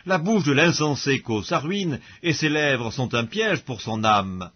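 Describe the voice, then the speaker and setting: A man reads scripture aloud as an audiobook narrator, solemn and measured, with formal diction, on a dull recording. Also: mid-range voice, lively intonation, breathy edge.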